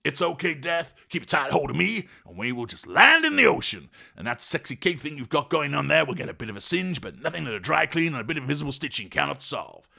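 Man playing a cocky young male daredevil, high-pitched, bouncy, loud, slangy, gravelly edge. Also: very animated, fairly quick, exaggerated voice. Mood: brash. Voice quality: loud, whooping, breezy slangy delivery. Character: brash cocky young male thrill-seeker, boastful and oblivious.

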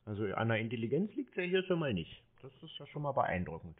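A man's voice: mid-range voice, sing-song, quick pace, husky. A man chatting casually on a phone call in a dry, provocative, unruffled manner, as someone who baits call-centre scammers. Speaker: prank call creator baiting call-centre scammers. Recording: narrow phone-band radio-like sound.

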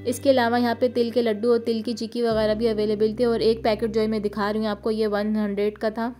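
Flat-toned Pakistani woman vlogger. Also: fairly high pitch, fast. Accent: Pakistani Urdu. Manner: steady, listing prices in a level, informative tone. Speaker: shopping vlogger. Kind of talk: vlog voice-over. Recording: voice-over with faint music behind.

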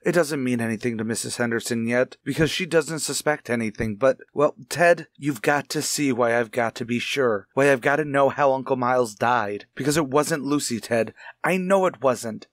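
A man acts out an anxious husband from a radio mystery. His mid-pitched voice is earnest and halting, as if pleading with a friend. The sound is close and dry. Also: no echo, natural voice. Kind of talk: radio mystery drama acting.

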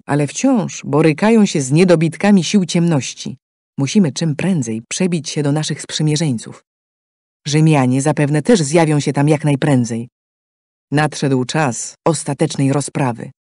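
A man's voice: on the high side, dark tone, dry recording, clean studio sound. A man giving scripted, dramatic narration for a game story in a solemn, declamatory manner.

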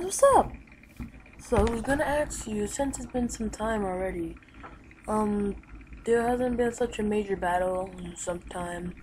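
Woman, slow hesitant gaming roleplay narration, middle pitch, noisy home recording. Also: darkish tone. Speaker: gaming video creator playing a roleplay character. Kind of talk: improvised Minecraft roleplay narration.

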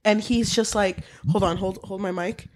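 A woman co-hosting a podcast, chatting casually about books in a playful, sweary way with animated retellings. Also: mid-range voice, moderate pace, no room sound, clean studio sound. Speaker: podcast co-host.

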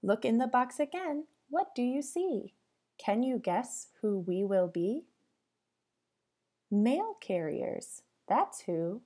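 Female teacher for children's read-aloud: mellow tone, lively sing-song intonation, addresses kids directly with questions. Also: a little high, takes it slowly. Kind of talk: interactive storybook read-aloud for young children.

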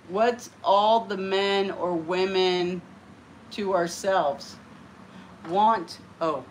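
Slow-talking chatty woman host. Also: medium pitch, a little hiss or hum. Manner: chatty, rambling, giggly. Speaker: livestream host. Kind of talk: casual livestream chat. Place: living room, green party decorations.

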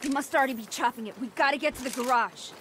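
A woman's voice: high-pitched, fast talker, natural voice. An actress playing a fierce, wronged young woman in a video game, blunt and hard-edged as she talks. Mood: resolute. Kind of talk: acted game dialogue. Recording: loud car and street noise behind.

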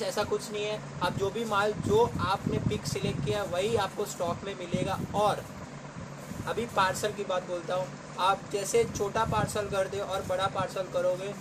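Chatty young man in a noisy shop. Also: high voice. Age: young adult. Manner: chatty, jokey, addresses viewers as guys. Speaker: saree shop vlogger. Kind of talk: casual product showcase. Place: small indoor textile shop stacked with sarees.

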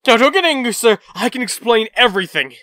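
A man's voice: very high voice, lively intonation, fast, pushed and bright, no echo, clean studio sound, heavily acted. A man voicing a cocky, blustering young soldier in a comic book dub, with a theatrical, exasperated manner. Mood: pleading.

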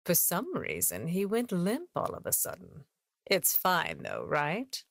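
A woman's voice: lowish voice, wildly swooping pitch, measured pace, vocal fry, no echo, clean studio sound, exaggerated voice. A woman playing a cold, towering veteran cave raider in an anime game, languid and dismissive, with music behind her. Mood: cold contempt. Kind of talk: anime game dub acting. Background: background music.

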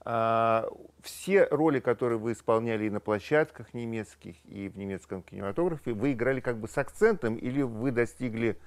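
A middle-aged man hosting a studio interview, asking measured questions and murmuring agreement. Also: mid-range voice, animated. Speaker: talk show host. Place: small studio with red curtain.

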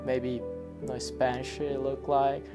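A man's voice: medium pitch, level tone, measured pace, over music. A teenage boy, an immigrant student, speaking hesitantly with many fillers in a documentary interview. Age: teens.

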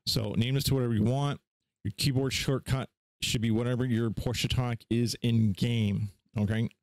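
A man casually talking through software setup steps in an informal, unscripted tutorial style. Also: mid-range voice, steady tone, normal speed, no echo. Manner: informal, instructional, step by step. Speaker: tutorial host. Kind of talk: casual unscripted screen-share software walkthrough.

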